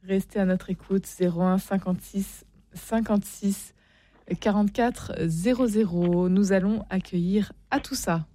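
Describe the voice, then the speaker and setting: A woman hosting a live radio phone-in, speaking into a studio microphone with hesitant, halting phrasing. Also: mid-range voice, dark tone, no echo. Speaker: radio call-in host.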